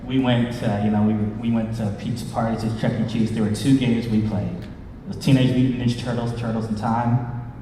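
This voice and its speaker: A man's voice: lowish voice, steady tone, fairly quick, warm tone, a little hiss or hum. A man hosting a casual fan panel, talking informally to the room as the host. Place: large convention panel room. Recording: echoey hall, distant from the mic.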